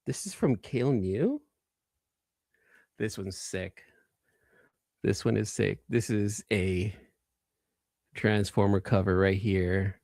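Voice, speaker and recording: A man's voice: fairly low pitch, takes it slowly, pauses often, darkish tone, dry recording, clean studio sound. A man hosting a live collecting show, chatting casually and enthusiastically in informal slang, often repeating phrases for emphasis. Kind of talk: casual live-stream chat showing off comics.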